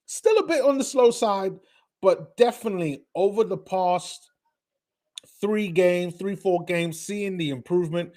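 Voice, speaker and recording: Man, British accent with North American blend, somewhat high voice, chatty live game commentary, lots of fillers. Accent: British mixed with North American. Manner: chatty, filler-heavy, surges excitedly on big plays. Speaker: watch-party streamer.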